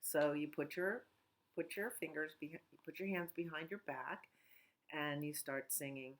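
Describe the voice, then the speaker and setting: Low-voiced woman reading to children. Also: steady tone. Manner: gentle, sing-song, talks slowly to children. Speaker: children's librarian. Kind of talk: storytime reading and chat for children. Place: at home.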